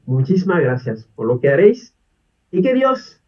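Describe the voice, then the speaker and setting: Non-native priest, earnest and pleading, high lively voice, distant muffled recording. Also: male voice, normal speed. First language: not Spanish. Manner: earnest pleading, repeated questions. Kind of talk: video appeal to the faithful. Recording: distant dull lo-fi video audio.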